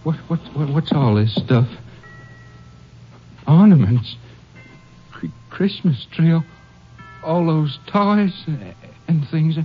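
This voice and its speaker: An actor playing a poor, halting father who stammers and repeats words with overwhelmed gratitude in an old radio drama. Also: fairly high pitch, animated. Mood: stunned. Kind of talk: 1950s radio drama acting. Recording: muffled old radio recording, faint music.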